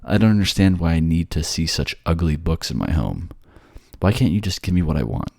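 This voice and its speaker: A man's voice: very deep voice, vocal fry, darkish tone, no echo, studio quality, heavily acted. A man playing a bored, sardonic ghost who haunts a library, snarky and conversational in an ASMR audio roleplay. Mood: irritated, snarky. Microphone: close, dry microphone.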